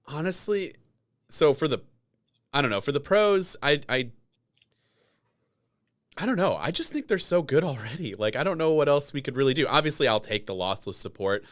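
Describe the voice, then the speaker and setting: A man's voice: fairly high pitch, sing-song, moderate pace, long pauses, fairly bright tone. A man hosting a casual tech podcast, talking in an informal, rambling way. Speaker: podcast host.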